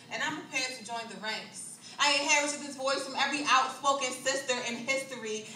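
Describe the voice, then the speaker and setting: A woman performing a spoken word poem live on a club stage, rhythmic and building from hushed lines to loud declamation. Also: sits high, a little hiss or hum. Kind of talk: slam poetry performance. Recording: echoey club recorded from far off.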